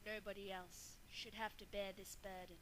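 A woman playing a solemn, gentle female guardian of time in a scripted amateur fan musical dub. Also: mid-range voice, level tone, normal speed, rough recording. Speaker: amateur voice actor.

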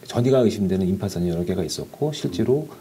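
A man's voice: sits low, expressive, quick pace, warm tone, a little hiss or hum. A middle-aged man explaining findings as a doctor, interviewed in a small office.

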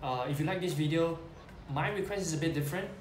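A man's voice: fairly high pitch, fast, a little hiss or hum. Singaporean man musing on camera, roomy sound. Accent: Singaporean English. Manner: hesitant, many "uh" fillers and tag "right?"; gestures with hands while talking. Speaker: investing YouTuber. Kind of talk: casual talking-head explainer. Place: small plain room indoors. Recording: echoey room.

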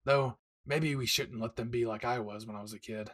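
A man playing a brash but devoted young husband and excited father-to-be in an intimate, teasing, tender audio roleplay. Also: medium pitch, brisk, husky. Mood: warm, reflective.

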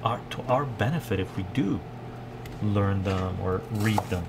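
A man, chatting casually and haltingly on a cooking livestream, musing and repeating phrases for emphasis. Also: lowish voice, creaky. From Canada, born in Iran. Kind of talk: casual livestream chat while cooking, reading viewer comments. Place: home kitchen, indoors. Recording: loud kitchen noise, chopping and clatter.

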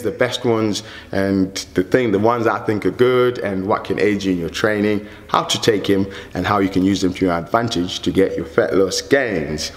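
A man in his thirties speaks straight to camera as a fitness coach, animated and chatty, his phrasing loose and rambling. His voice sits on the low side. The bare room adds a long, lingering reverb. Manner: animated, gesturing a lot, loose conversational phrasing. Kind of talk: casual fitness lesson to camera. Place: plain indoor studio backdrop.

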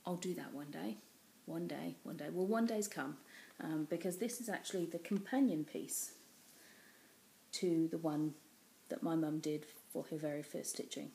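Low gravelly English woman, chatty. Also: normal speed, a little hiss or hum, low-quality recording. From England. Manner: rambling, many ums, warm and chatty. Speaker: cross-stitch vlogger. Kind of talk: casual chatty craft vlog. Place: small room indoors at home. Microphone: built-in webcam mic at close range.

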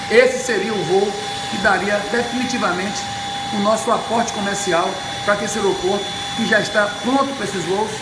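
A man, giving an emphatic, deliberate update to camera like a public speaker. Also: high-pitched. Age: 50s. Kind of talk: informal speech to camera. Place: bare indoor room by windows. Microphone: camera or phone a short distance away. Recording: echoey room with loud machinery noise.

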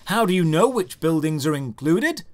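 Animated, higher-pitched man, sarcastic game reviewer. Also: normal speed. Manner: sarcastic, exasperated, emphatic delivery. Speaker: video game reviewer and host. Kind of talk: scripted comedic review narration.

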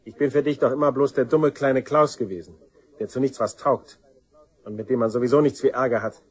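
An actor playing a bitter, aggrieved younger son in a German crime radio play, sarcastic and accusing. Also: male voice, mid-range voice, fairly even intonation, a little fast, a little mellow, husky, lightly acted.

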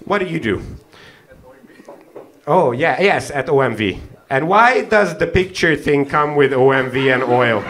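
A man's voice: a little high, lively intonation. A young man doing stand-up crowd work on a comedy club stage, teasing the audience into a handheld mic. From half Hungarian, half South African. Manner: teasing, quick banter with audience, frequent laughs. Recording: live club with audience laughter.

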